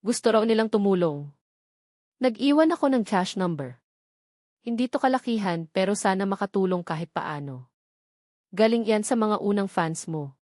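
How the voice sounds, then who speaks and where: A woman's voice: medium pitch, animated, normal speed, long pauses, a little mellow, no echo, close to an everyday voice. Woman narrator as humble, sincere young female vendor in a storybook romance; dry sound. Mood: gentle, sincere. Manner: modest, sincere.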